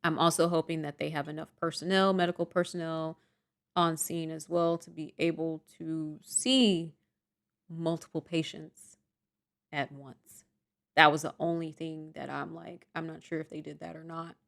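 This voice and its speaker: A young woman vlogger rambling softly and self-deprecatingly through a casual storytime monologue. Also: low-pitched, flat delivery, moderate pace, gravelly.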